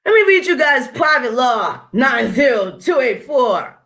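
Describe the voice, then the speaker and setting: A woman with a high, sharp, bright voice talks straight at her audience in a blunt, swearing vlog rant. She mixes combative commentary with reading official text aloud. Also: big pitch swings, unhurried. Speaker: vlogger.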